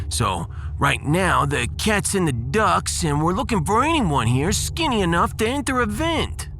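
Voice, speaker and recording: A man's voice: high voice, sing-song, unhurried, fairly bright tone, slight background noise, full character voice. A man playing a brash working-class spaceship engineering boss, in a comic audiodrama. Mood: cocky. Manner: brash, colloquial wise-guy talk.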